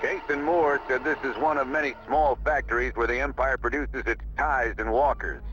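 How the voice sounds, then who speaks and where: An actor playing a steady, commanding rebel general briefing his pilots in a military style, in a video game. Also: mid-range voice, normal speed, lightly acted. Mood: firm, briefing. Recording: dull lo-fi game audio, faint music behind.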